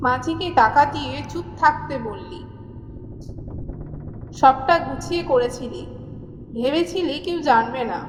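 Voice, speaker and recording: A woman's voice: sits high, expressive, measured pace, pauses often, a little hiss or hum. A woman as echoing, vengeful young ghost bride. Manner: accusing, dramatic. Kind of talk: Bengali horror audio drama acting. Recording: long echoing reverb over music.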